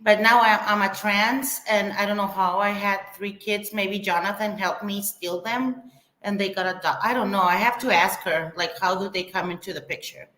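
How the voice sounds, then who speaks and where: A woman's voice: medium pitch, steady tone, normal speed, sharp tone. A woman chatting casually on a livestream panel, with a sarcastic edge as she recounts things. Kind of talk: casual chat. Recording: echoey room.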